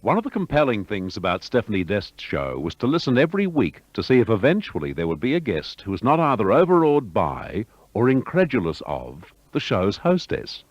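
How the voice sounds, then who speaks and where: A low-pitched man narrates a radio history in a smooth, measured way. His voice rises and falls with plenty of movement. The sound is dry and dull, like lo-fi broadcast audio. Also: wildly swooping pitch, no room sound. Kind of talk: scripted documentary narration.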